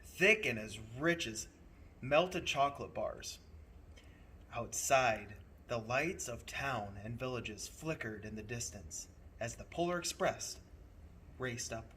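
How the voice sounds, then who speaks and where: A man reading a children's story aloud in an expressive, deliberate, theatrical way as its host. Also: medium pitch, slight background noise. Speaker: storybook reader playing a train conductor host.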